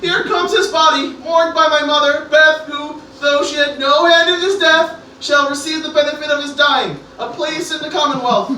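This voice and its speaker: A heavily echoing, distant amateur radio play recording. A woman plays an anxious teenage boy, bright and very high, earnestly orating like a Shakespearean assassin pleading his cause. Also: steady tone, normal speed, few pauses. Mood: solemn. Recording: very echoey, distant room, faint noise.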